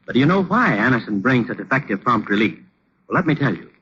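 An American man delivers old-time radio ad copy with persuasive earnestness. His mid-pitched voice is forceful, and the recording is faintly noisy. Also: very animated, quick pace, dull audio. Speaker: radio announcer. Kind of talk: 1940s radio advertisement, scripted.

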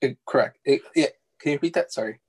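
A young man whose first language is Farsi, answering interview questions in a relaxed, halting way with many fillers and restarts. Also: on the high side, breathy edge. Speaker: interview guest, architectural designer.

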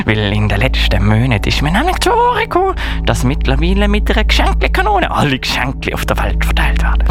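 A villainous grey Christmas elf, theatrical and gloating. The voice swings wildly from low mutters to high shrieks, with clear music underneath, as in a children's audio play. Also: male voice.

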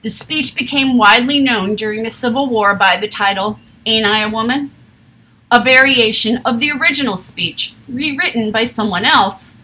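A woman reading a history text aloud in a steady, measured way, with an occasional slip. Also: a little high, low-quality recording.

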